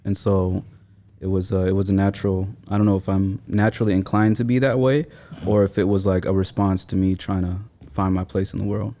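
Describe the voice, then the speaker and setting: A man's voice: low voice, steady tone, brisk, mellow, dry recording. A man in his thirties from Seattle, born in Somalia, talking reflectively and informally as an interview guest. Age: 30s. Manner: thoughtful, informal slangy phrasing. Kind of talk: reflective casual interview talk.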